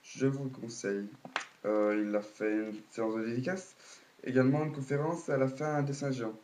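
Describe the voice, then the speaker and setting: A man's voice: medium pitch, steady tone, moderate pace, a little mellow. Guy with a cold rambling a casual recap, lots of ums and restarts. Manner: hesitant, many fillers and restarts. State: has a cold, coughing. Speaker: video blogger.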